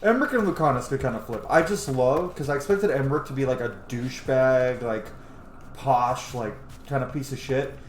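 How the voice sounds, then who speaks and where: A man's voice: medium pitch, normal speed, roomy sound, a little hiss or hum. A man giving casual, chatty, enthusiastic gameplay commentary, with some offhand swearing. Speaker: host of a gameplay series.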